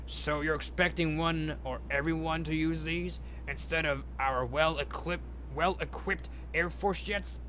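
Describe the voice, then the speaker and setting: A man's voice: fairly high pitch, steady tone, unhurried, hardly any pauses, a bit bright, slight background noise, poor audio. A man voicing a stern, dismissive Air Force general in a read-aloud story, formal and commanding. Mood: skeptical.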